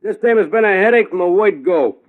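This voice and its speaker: High-pitched, harried American talent agent. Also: male voice, fairly quick. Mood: exasperated. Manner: slangy, excitable, pleading. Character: harried, fast-talking, hustling male talent agent. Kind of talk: 1950s American radio drama acting. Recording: tinny, band-limited old radio recording.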